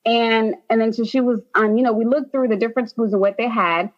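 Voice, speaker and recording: A woman's voice: a little high, fairly even intonation, fairly quick, highs cut off. A young woman from Haiti, a nurse practitioner, chatting as a podcast guest with many "um"s and "like"s. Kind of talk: casual interview.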